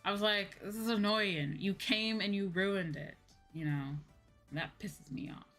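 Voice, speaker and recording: Bright-voiced woman streamer venting casually. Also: mid-range voice, unhurried, faint music behind. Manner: exasperated venting with casual swearing. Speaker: VTuber streamer, host. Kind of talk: casual livestream chat.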